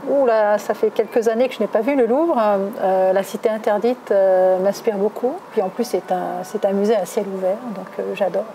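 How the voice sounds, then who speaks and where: A woman's voice: mid-range voice, steady tone, moderate pace, few pauses, warm tone, slight background noise. A middle-aged woman from southwest France answering interview questions conversationally, with many hesitations and self-corrections. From Toulouse, southwest France. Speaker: interviewee, executive recruiter.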